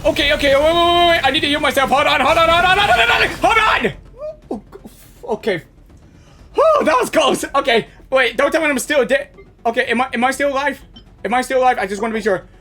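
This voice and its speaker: Game reaction streamer, bright voice with big pitch swings, excitable, repetitive phrasing, casual. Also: fast talker, faint music behind. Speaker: gaming streamer.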